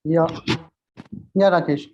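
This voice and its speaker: A man from India, introducing himself haltingly on a video call. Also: fairly high pitch, steady tone, darkish tone, muffled recording, a little hiss or hum. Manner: halting, many fillers and restarts. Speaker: UX manager. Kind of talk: casual self-introduction.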